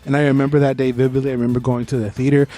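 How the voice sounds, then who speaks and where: A man's voice: medium pitch, flat delivery, racing, dark tone. A man co-hosting a podcast, giving opinionated, informal review chat with bits of trivia. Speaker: podcast co-host.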